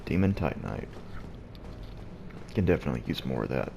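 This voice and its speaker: A man quietly giving gameplay commentary at home early in the morning, keeping his deep, low voice hushed so he won't wake anyone. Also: very animated, fairly quick, vocal fry, lo-fi sound. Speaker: let's play host. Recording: noisy background hiss.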